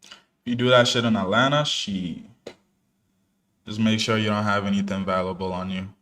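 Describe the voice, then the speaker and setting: A man's voice: on the low side, steady tone, normal speed, a bit bright, a little hiss or hum. A young man born in New Jersey, commenting casually on a live trading stream in a laid-back, slangy way with many fillers. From born in New Jersey, parents from Ghana. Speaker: day trader streaming live.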